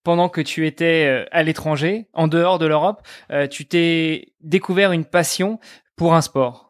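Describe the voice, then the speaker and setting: A man hosting a podcast, asking a guest questions in a smooth, well-paced way. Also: a little high, steady tone, moderate pace. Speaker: podcast host. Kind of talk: scripted intro then interview questions.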